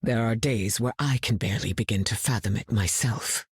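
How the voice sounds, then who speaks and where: A dignified deep-voiced heroine. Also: female voice, husky, dry recording, clean studio sound, heavily acted. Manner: dignified, measured. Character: dignified weather-controlling heroine woman.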